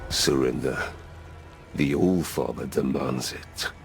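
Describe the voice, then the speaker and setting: A man's voice: a bass voice, slow pace, vocal fry, music in the background, heavily acted. A man voicing an imposing, menacing warrior god in a video game, blunt and commanding. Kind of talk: cinematic video game acting.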